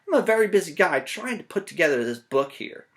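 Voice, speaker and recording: A man's voice: sits high, big pitch swings, a little fast. Man playing a bossy, frazzled storybook narrator guy. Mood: bossy, exasperated. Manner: brash, interrupting, exasperated.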